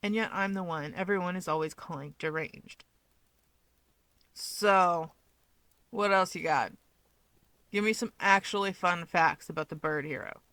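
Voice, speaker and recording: A woman voicing a smug, sardonic young man who likes to needle his rival, deadpan and dismissive, in a fan fiction audiobook. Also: lowish voice, measured pace, long pauses, no room sound. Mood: teasing.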